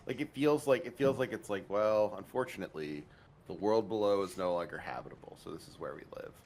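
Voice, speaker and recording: A man's voice: on the low side, brisk, a little mellow. A man co-hosting a let's play, chatting casually about the game with wry, dry quips. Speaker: let's play co-host watching and commenting. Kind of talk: casual chat, game commentary.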